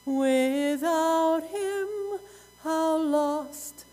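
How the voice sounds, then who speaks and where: A woman singing a gospel hymn solo with a raspy voice, holding long, drawn-out phrases. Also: high-pitched, dark tone, soft background music. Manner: sustained, drawn-out sung phrases. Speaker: gospel singer.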